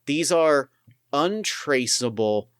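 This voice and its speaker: A man's voice: on the high side, expressive, takes it slowly, fairly bright tone, clean tone, dry recording, clean studio sound. A man running a tabletop game as game master, narrating in a loose, rambling way. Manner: loose, filler-heavy narration.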